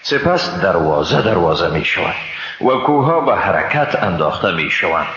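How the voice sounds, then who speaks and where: A man with an Afghan Dari accent reads a scripture translation in a solemn, scripted style. His lowish voice is bright and forceful, with animated intonation. It rings with long reverb over a slightly dull, noisy recording. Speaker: translation reader.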